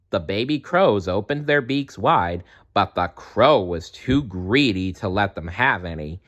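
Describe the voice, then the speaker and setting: A man reading a children's story aloud in an expressive narrating style. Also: lowish voice. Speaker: storybook reader.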